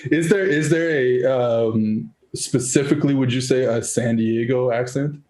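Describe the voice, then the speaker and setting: Host: man, mid pitch, teasing and halting, echoey room sound. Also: a little mellow. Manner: rambling, teasing, halting with many restarts. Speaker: podcast host. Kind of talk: casual podcast chat. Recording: roomy echo.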